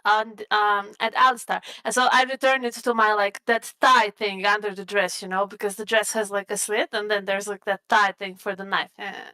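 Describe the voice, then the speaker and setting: Girl with a bright clear voice, kinda high, bantering in a tabletop rpg. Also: flat delivery, normal speed, hardly any pauses, rough recording. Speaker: player in a tabletop role-playing game. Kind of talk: casual in-character banter and improvised play.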